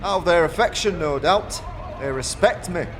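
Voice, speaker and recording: A man playing a blustering high-pitched foundry foreman. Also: lively intonation, moderate pace, lightly acted. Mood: smug, self-important. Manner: rustic dialect grammar, blustering, self-justifying. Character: gruff, self-important, rough foundry foreman, defensive and boastful. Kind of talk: fantasy audio drama character acting.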